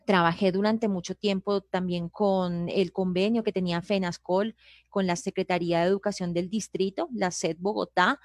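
A woman, a sign language interpreter introducing herself on a live panel, speaking steadily with frequent "eh" pauses. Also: mid-range voice, no room sound, studio quality. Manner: steady, hesitant "eh" fillers.

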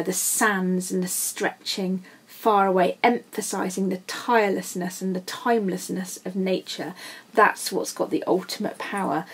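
A woman explains poetry as a teacher would. Her voice is husky and sits at a middling pitch. She stresses key words as she goes through the lines. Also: a little mellow. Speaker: English literature teacher. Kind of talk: lesson explaining a poem line by line.

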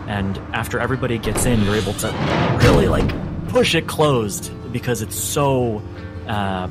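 A man as game master, narrating scenes in a measured, descriptive way with dramatic pauses. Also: on the low side. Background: music.

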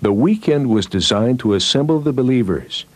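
A male narrator reads a scripted newsreel report. His pitch sits in the middle range for a man. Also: expressive, moderate pace, no echo.

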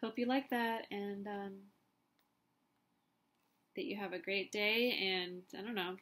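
A woman in her thirties reading a picture book aloud to children, with quiet explanatory asides. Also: medium pitch, fairly even intonation, takes it slowly. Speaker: storybook reader. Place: small indoor room by a window.

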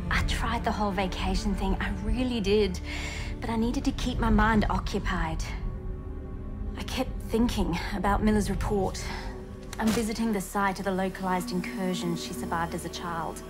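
An actress playing a sharp-tongued young female researcher, bold and wry. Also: mid-range voice, steady tone, normal speed, lightly acted. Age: young adult. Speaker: scientist daughter. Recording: game cutscene with music.

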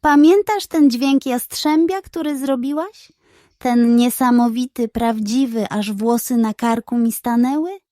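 A woman voicing a sly, scheming, excitable male pug in a children's storybook, with a theatrical, flattering manner. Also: fairly high pitch, measured pace, no echo, clean studio sound, lightly acted. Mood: coaxing.

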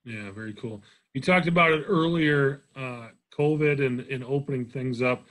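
A man's voice: mid-range voice, moderate pace, a bit bright, poor audio. A man hosting a podcast, asking his guest friendly, open questions. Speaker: podcast host. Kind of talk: interviewing a guest.

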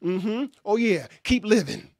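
A man's voice: sits high, very animated, a little slow, creaky, dry recording, studio quality. A man in his fifties preaching at a Bible class, emphatic and folksy, dropping amens and hallelujahs between lines. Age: 50s. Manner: emphatic call-and-response preaching with frequent amens; folksy, conversational, gestures with hands. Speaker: pastor. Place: small indoor room with curtain backdrop. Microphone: stand mic in front.

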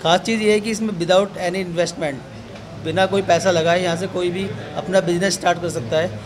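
An upbeat man pitches a business with a salesman's push, his voice set fairly high. He speaks close into a handheld news mic. A crowd chatters behind him. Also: steady tone, normal speed. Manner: upbeat, salesy, opens with a long Islamic greeting. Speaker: company representative interviewed at an office opening. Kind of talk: promotional interview answers. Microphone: handheld news mic held up close.

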